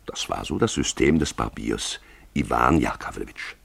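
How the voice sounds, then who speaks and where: A man narrates a radio play in a very low, husky voice with a gravelly edge. His storytelling is theatrical and wry, in a close, literary style. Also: expressive, normal speed, a bit bright, no echo.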